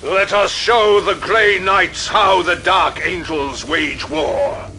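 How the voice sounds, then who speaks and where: A man's voice: high voice, slow, sharp tone, slight background noise, full character voice. A man playing a stern, commanding armored warrior lord in an audio drama, speaking in solemn, formal, archaic phrases. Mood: grim, rallying. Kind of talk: dramatic sci-fi war audio drama acting.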